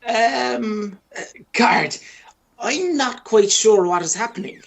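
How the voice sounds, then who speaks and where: A woman playing a flustered, high-voiced hapless man. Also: expressive, takes it slowly, vocal fry, full character voice. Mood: bewildered. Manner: hesitant, stammering. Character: flustered, hapless man caught with his lover. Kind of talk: radio play acting.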